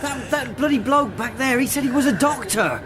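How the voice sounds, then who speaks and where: A man's voice: very high-pitched, brisk. A man playing a belligerent drunken British train passenger in a radio drama. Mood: anxious.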